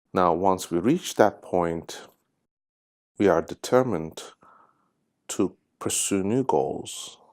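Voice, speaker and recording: A man narrating a guided meditation in measured, deliberate phrases with pauses. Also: deep voice, mellow. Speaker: guided meditation host, hypnosis therapist.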